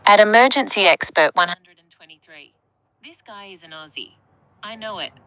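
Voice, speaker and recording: A woman's synthetic voice reading out live chat messages in a flat, even way. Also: medium pitch, normal speed, poor audio. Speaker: text-to-speech chat reader.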